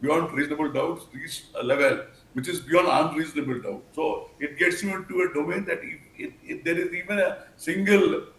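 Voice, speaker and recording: An older man with an Indian English accent giving formal, measured, wordy commentary as a news panel expert. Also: on the high side, fairly bright tone. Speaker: expert guest, former senior police officer. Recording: echoey room, faint background noise.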